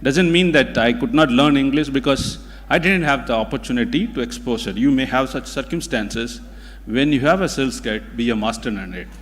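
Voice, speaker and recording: Male public speaker, native Tamil with Tamil Indian accent; mid-pitched, deliberate, emphatic repetition, motivational delivery; long hall reverb. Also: a bit bright, a little hiss or hum. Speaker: guest speaker at a community event. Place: indoor event hall. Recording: hall reverb from a large room.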